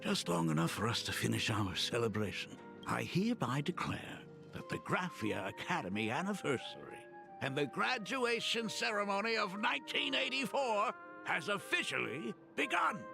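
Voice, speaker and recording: An actor playing a gentle, fatherly dean with a formal, tender manner in a dramatic game story. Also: sits high, big pitch swings, normal speed, gravelly, music in the background, exaggerated voice. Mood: warm, ceremonial. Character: gentle, wistful, fatherly older academy dean, regretful.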